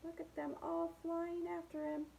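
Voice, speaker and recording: A woman's voice: very high-pitched, little pitch movement, unhurried, creaky, warm tone, slight background noise. A woman reading picture books aloud to young children, with sing-song rhymes and simple asides about the pictures.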